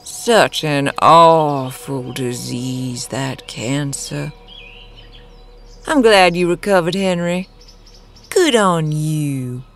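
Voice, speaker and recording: A man's voice: on the high side, fairly bright tone, a little hiss or hum, pitched above the performer's own voice, exaggerated voice. A man voicing a caustic, menacing woman with a sweetly mocking, genteel manner in an audio horror story. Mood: mock sympathy. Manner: slow, cooing, mock-genteel taunting. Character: caustic, menacing veiled woman, sweetly malicious Southern kin.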